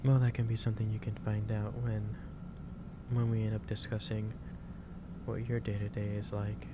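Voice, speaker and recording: Man playing a low, gentle, caring office boss. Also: lightly acted. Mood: gentle concern. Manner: halting, hesitant, reassuring. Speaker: ASMR roleplay performer. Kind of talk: improvised ASMR roleplay, one-sided conversation. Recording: steady loud background noise.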